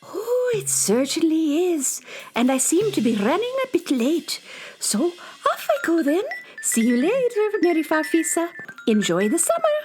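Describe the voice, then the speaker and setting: Very high, swooping little fairy. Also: female voice, huge pitch swings, measured pace, darkish tone, soft background music, exaggerated voice. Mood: chirpy, friendly. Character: tiny red-haired female fairy, chirpy, busy, friendly. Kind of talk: children's audio drama acting.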